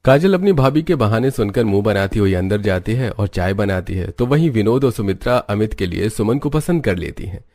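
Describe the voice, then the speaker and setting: A man's voice: fairly low pitch, animated, fairly quick, hardly any pauses, darkish tone, no echo. A man reading scripted narration for a moral story.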